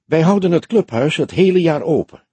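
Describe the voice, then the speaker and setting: A man voicing a composed, frank older woman in an audiobook, speaking softly in a measured, genteel, thoughtful way. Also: on the high side, lively intonation, slightly rough, no echo, voice pushed up. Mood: reflective, matter-of-fact. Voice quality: soft, friendly voice. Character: older woman club member, composed, soft-spoken, frank. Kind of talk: audiobook character dialogue.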